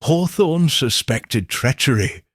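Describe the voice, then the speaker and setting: A man voicing a calm, shrewd woman amateur sleuth in a mystery audiobook, explaining things in a measured, assured way. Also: mid-range voice, dry recording, studio quality. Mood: calm, triumphant.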